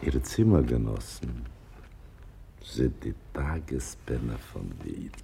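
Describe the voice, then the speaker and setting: An actor playing a gruff older would-be writer in a radio play, with a gravelly, husky voice and a wry, theatrical manner. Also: male voice, rumbling low, sing-song, slow pace, dark tone, a little hiss or hum, exaggerated voice. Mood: sardonic.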